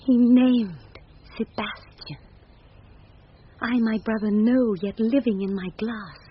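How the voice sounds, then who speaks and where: A woman's voice: a little high, steady tone, takes it slowly, husky. An actress playing an anxious young noblewoman disguised as a youth, speaking earnest formal verse in a classic Shakespearean radio drama. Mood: hopeful wonder. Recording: dull, band-limited 1970s radio recording.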